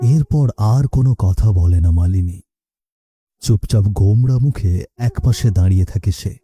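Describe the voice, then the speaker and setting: A man's voice: fairly low pitch, measured pace, dark tone, husky, faint music behind. A man narrating a scripted story as its storyteller.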